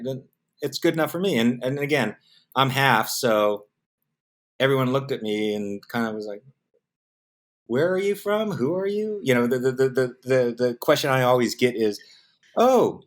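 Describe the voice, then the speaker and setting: A middle-aged man with an American accent giving casual, anecdotal interview answers, animatedly acting out bits of dialogue. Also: medium pitch. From San Diego, United States. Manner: animated storyteller, acts out quoted dialogue. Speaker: interview guest, film production professional.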